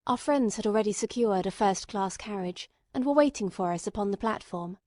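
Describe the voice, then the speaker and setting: A woman narrates a classic novel as an audiobook. Her pitch sits in the middle for a female voice, and the recording is very dry, with no room around it. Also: lively intonation, brisk, dark tone, no room sound. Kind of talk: scripted storybook narration.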